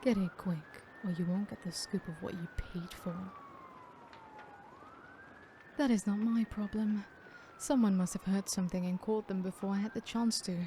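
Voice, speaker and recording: A woman playing a sadistic, smug female serial killer in an ASMR horror roleplay, theatrical and unhurried, often dropping into breathy whispers. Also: medium pitch, steady tone, mellow, a little hiss or hum, lightly acted. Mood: cold, curt.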